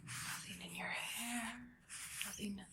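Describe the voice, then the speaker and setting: A woman playing an evil, vain, paranoid doctor in an ASMR roleplay, speaking in a soft, hushed whisper with a calmly menacing manner. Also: mid-range voice, slow pace, very noisy, lightly acted. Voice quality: soft, whispery, with creaky fry.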